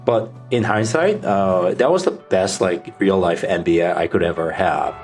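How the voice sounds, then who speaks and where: Low-voiced Korean-accented man in conversation. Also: normal speed, darkish tone, faint music behind. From South Korea. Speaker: podcast guest, startup founder. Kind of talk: casual interview answers.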